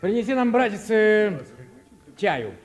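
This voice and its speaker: A man's voice: high voice, very animated, takes it slowly, soft background music, heavily acted. An actor playing a fawning, timid minor clerk in a 1950s Russian radio play, fussy and coaxing with his companions. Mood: hungry, chatty. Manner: fussy, coaxing, hushed when cowed. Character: fawning, timid male minor clerk hosting hungry colleagues.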